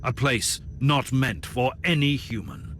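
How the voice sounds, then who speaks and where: An actor playing a grave, formal Inquisitor and war leader in a dramatic video game cutscene. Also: male voice, mid-range voice, measured pace, fairly bright tone, faint music behind, natural voice. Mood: grave warning. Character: stern, commanding male Inquisitor, grim war leader.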